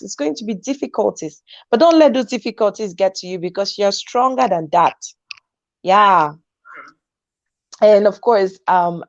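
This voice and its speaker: A woman's voice: mid-range voice, darkish tone, no room sound. A woman hosts a live online talk show, reading out viewer comments and encouraging her guest, with frequent laughter. Her voice comes through a remote call, dry with no room sound, and slightly dull and muffled. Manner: encouraging, laughs often, reads comments aloud.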